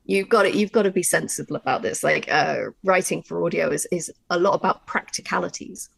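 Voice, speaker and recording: A woman's voice: on the low side, fairly quick, creaky, husky. A woman talking casually and emphatically as a writer explaining her craft in a workshop discussion. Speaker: audio drama writer.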